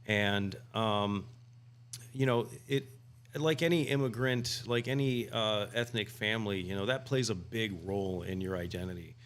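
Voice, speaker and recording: A man's voice: fairly low pitch, moderate pace, fairly bright tone. A man talking casually on a podcast as a guest, rambling with many restarts and fillers. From United States. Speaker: guest homebrewer.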